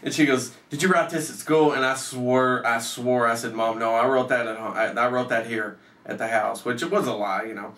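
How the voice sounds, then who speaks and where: Bright-voiced man telling stories in a roomy space. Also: medium pitch, fairly even intonation, brisk, hardly any pauses. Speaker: storyteller, host. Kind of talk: casual storytelling, profane. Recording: roomy echo, off the mic.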